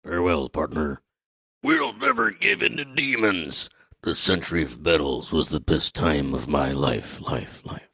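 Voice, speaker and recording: A man's voice: very deep voice, very animated, a little slow, gravelly, bright, forceful tone, slightly rough, no room sound, voice pushed down, full character voice. A man voicing a proud, battle-loving giant pirate warrior with solemn, declamatory delivery. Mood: proud, defiant.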